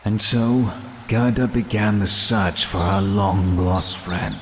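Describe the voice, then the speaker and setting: A man playing the narrator of a fairytale audio play, reading scripted storybook narration in a measured way. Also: fairly low pitch, steady tone, fairly bright tone. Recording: faint background noise.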